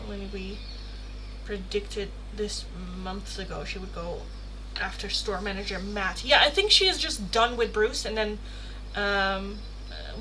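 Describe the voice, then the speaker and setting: A woman from the Netherlands, a native Dutch speaker, chatting casually and expressively as she hosts a live tarot stream. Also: mid-range voice. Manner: chatty, expressive, swears playfully. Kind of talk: casual live chat with viewers. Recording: clear background noise.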